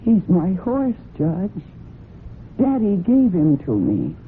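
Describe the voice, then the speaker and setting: A woman's voice: on the low side, huge pitch swings, slow pace, full character voice. An actress playing a strong-willed, loyal and defensive woman in a vintage radio drama, speaking with earnest, emotional insistence. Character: strong-willed adult daughter, loyal and defensive about her horse. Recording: muffled, noisy old radio broadcast recording.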